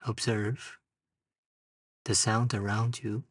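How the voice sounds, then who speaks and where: A man guides a meditation in a lowish, husky voice. He speaks very slowly, in short phrases separated by long pauses. The sound is distant from the microphone. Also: flat delivery, a bit bright, studio quality. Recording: distant mic in a roomy, echoing space.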